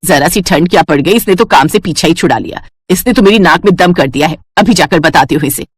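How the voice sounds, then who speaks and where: A woman's voice: on the low side, lively intonation, a little fast, slightly rough, no room sound. A woman voicing a stingy, bossy older mother-in-law with a nagging, sarcastic manner in a moral story. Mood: angry, nagging.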